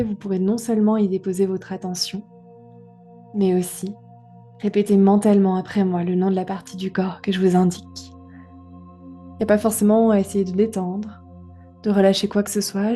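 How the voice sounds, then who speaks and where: A woman guiding a relaxation meditation, giving gentle, unhurried instructions with pauses. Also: mid-range voice. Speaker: yoga nidra guide.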